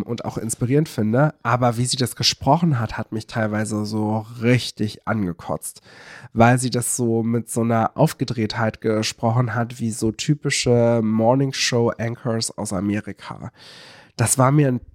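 A man chatting casually on a podcast, circling his point with frequent fillers and restarts. His voice sits at a middling pitch for a man. The recording is close and dry, with no room sound. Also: steady tone, measured pace, no room sound. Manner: rambling, many fillers and self-corrections. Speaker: podcast co-host. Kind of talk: casual chat.

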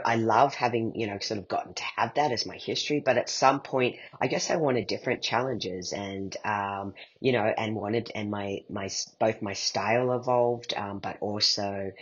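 A man's voice: fairly low pitch, a bit bright, husky, muffled recording. A middle-aged man answering as an interview guest, reflecting in a hesitant, meandering way as he thinks aloud. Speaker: guest, playwright and performer.

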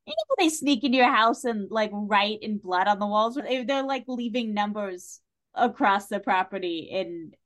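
A woman chatting casually as a podcast guest, with an animated, jokey way of talking. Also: mid-range voice, normal speed. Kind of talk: casual chat.

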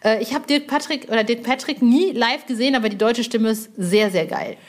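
Woman podcaster, highish voice, casual n slangy, enthusiastic little interjections. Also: fairly quick. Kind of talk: casual chat.

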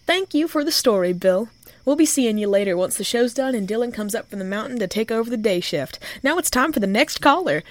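Woman playing a high-voiced, wry female radio host. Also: animated, fairly quick, natural voice. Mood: welcoming. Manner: wry, sweary, informal. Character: jittery, sardonic new female nighttime radio host. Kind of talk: radio host talk in an audio drama.

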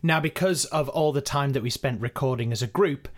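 A man with an average-pitched voice narrates a behind-the-scenes account. He sounds conversational and measured, like a director explaining his process to listeners. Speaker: podcast director and editor.

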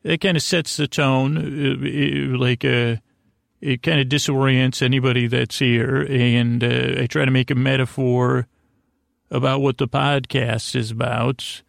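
A man with a distinctly creaky, fry-laden voice delivers a bedtime podcast monologue. He meanders in a soothing way, breaking off and circling back through long-winded asides. The sound is dry, with very little room to it. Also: medium pitch, flat delivery, measured pace, dry recording. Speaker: podcast host.

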